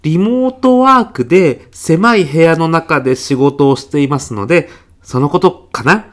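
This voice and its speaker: A man's voice: on the high side, lively intonation, moderate pace, close to an everyday voice. A man playing a polite, hesitant, slightly evasive young child's father in a comedic radio drama. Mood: flustered, evasive. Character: mild, awkward father of a preschooler, evasive. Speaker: parent in a comedy sketch. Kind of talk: comedic short radio drama, phone meeting.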